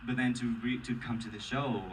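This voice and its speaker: A man's voice: medium pitch, flat delivery, fairly quick. A man with a Vietnamese accent, an actor on a stage panel, speaks earnestly and with emotion, often breaking off and restarting his sentences. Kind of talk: casual, personal panel Q&A answers. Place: large theater, Q&A on stage. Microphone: audience member's camera from the seats. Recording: distant, noisy audience camera recording.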